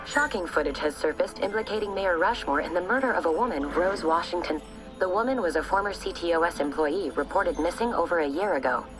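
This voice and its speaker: A serious female news anchor in a game, voiced by an actress. She delivers formal, measured copy in a lowish voice over a clearly audible music bed. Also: lively intonation, close to an everyday voice, low-quality recording. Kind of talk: news reading in a video game.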